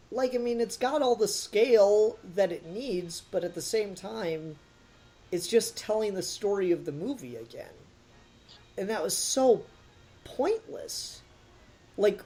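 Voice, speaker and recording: A man's voice: high-pitched, warm tone. A man co-hosting a review podcast, talking casually and critically in an emphatic, opinionated way.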